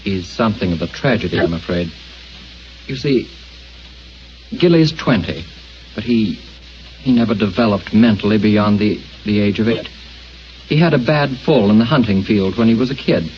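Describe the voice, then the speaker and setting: An actor playing a worried young gentleman who speaks sincerely and a little hesitantly, on an old radio recording. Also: lowish voice, moderate pace, long pauses, natural voice. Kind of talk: vintage radio acting. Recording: dull old radio recording, faint hiss.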